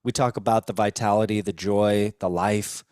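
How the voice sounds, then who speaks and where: A man's voice: on the low side, fairly even intonation, moderate pace, a little mellow, dry recording, studio quality. A young American man talking earnestly and informally as a guest in a casual podcast conversation. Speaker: podcast guest, recovery video producer.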